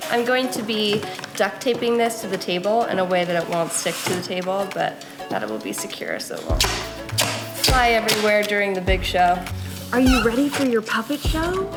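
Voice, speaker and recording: A woman's voice: medium pitch, moderate pace. A woman hosting a family craft video, chatting casually and encouragingly as she gives simple instructions. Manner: animated, encouraging when talking to the child. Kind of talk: casual craft tutorial and chat with a young child. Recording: echoey room with music underneath. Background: music.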